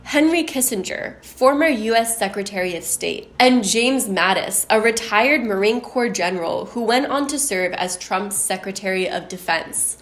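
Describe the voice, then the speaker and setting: Woman w a bit of a deep voice, chatty storytelling, lots of "like", roomy echo. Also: lively intonation, normal speed, few pauses. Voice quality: somewhat deep voice. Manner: chatty, uses "like" often. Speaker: YouTube storyteller. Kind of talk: casual commentary storytelling.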